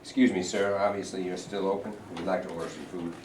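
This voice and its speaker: A polite young traveler pleading to be served, read by a man. His voice is very deep and quick, heard from afar in an echoey room with clear background noise. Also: fairly even intonation, far from the mic, close to an everyday voice. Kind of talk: staged reading of a play.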